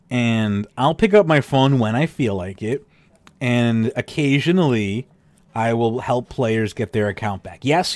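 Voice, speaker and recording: A man hosting a podcast with a worn, slightly tired voice. His pitch moves a lot as he drifts into sarcastic asides, and the sound is dry and close. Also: no echo. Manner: animated, sarcastic asides, trails off with fillers. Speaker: podcast host. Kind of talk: casual podcast chat reading game news.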